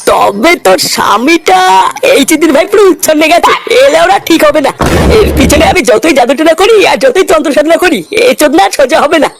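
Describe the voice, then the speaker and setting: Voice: high voice, lively intonation, a little fast, gravelly, background noise. A performer voicing a bossy, preachy old village uncle in an exaggerated comedy cartoon, pompous and scolding. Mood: scornful scolding.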